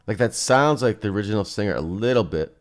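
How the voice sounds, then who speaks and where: Low-voiced male, loose and rambling, thinking out loud through a software walkthrough. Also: expressive, quick pace, music in the background. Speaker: plugin reviewer and host. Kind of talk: casual software demo commentary.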